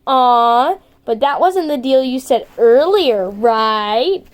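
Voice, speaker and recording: A woman voicing a soft, polite boy with a sly streak in a story reading, gentle and coaxing. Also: on the high side, animated, slow.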